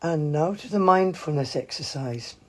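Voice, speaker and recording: A woman leading a casual mindfulness livestream from home, talking in a chatty, meandering, gently informal way, with a hoarse voice, recorded on a phone. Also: deep voice, lively intonation, moderate pace. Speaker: mindfulness teacher. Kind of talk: casual livestream with guided breathing. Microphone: phone, handheld at times.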